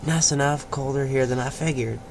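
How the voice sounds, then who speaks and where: An awkward young pony fellow from a cartoon fan story, acted out by a man. He is polite and hesitant, with a lightly raised pitch. Clear noise sits behind him. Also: fairly quick, lightly acted. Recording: noisy amateur home recording.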